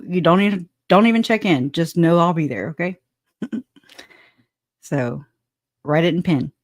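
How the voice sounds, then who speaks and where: A woman's voice: on the low side, darkish tone, no echo, clean studio sound. A woman hosting a livestream, chatting casually and rambling, with frequent restarts and laughter. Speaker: livestream host.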